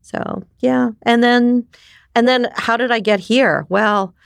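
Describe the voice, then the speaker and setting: Gravelly-voiced woman telling her story. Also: fairly high pitch, slow pace, no room sound, clean studio sound. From New York. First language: Japanese. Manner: animated, frequent restarts and "right?" tags. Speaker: podcast guest. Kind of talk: candid conversational storytelling.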